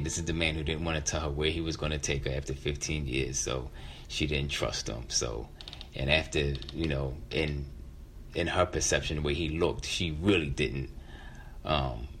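A man in his fifties with an American accent and a low, deep voice recounts a personal story. His delivery is conversational and reflective, often halting or repeating a word as he goes. Noticeable background noise sits behind him. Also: steady tone, a bit bright. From West Philadelphia, United States. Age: 50s. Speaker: featured storyteller.

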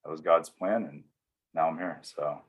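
A man, speaking plainly as an interview guest, with frequent pauses and fillers. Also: very deep voice, steady tone, breathy edge, dull audio. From East Tennessee, US. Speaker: interview guest, church security veteran. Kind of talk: casual storytelling interview answers.

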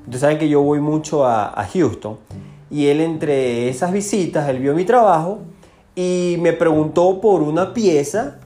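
A man's voice: a little high, unhurried, dark tone, soft background music. A man with a Venezuelan accent speaking informally and with slang as a tattoo artist who hosts a casual interview.

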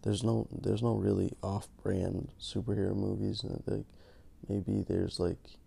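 A man hosting his podcast in a casual, rambling monologue, gravelly from just waking up, joking at his own expense. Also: on the low side, flat delivery, a little slow, dark tone. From Canada. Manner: rambling, self-mocking, many restarts. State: just woke up. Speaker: podcast host.

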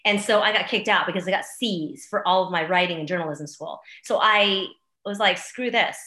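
A middle-aged woman chatting as an interview guest, an animated, expressive storyteller, recorded in an echoey room at home. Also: lowish voice, moderate pace. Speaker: podcast guest, real estate agent and former news anchor. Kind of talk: casual interview chat. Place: room in a new house.

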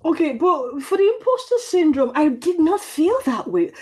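A woman's voice: high-pitched, big pitch swings, normal speed, darkish tone. A woman from Nigeria with a Nigerian accent talking conversationally as an interview guest, often repeating phrases for emphasis. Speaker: podcast guest.

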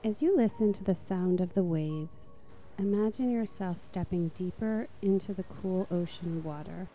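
A woman narrating a guided meditation in a soft, slow, soothing manner. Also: on the low side, soft background music.

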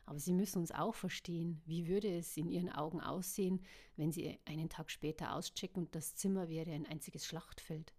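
A woman's voice: on the low side, fairly even intonation, a little fast, a little mellow, voice pushed down, natural voice. A woman voicing a polished, cynical male ringleader who talks in an ingratiating way. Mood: smooth.

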